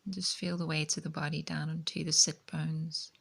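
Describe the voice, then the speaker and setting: A woman leads a guided meditation as a yoga teacher. Her voice is low and very even, with little rise or fall and a creaky edge. She speaks softly and gently, often trailing off into a near-whisper. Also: moderate pace. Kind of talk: guided meditation, soft spoken instruction.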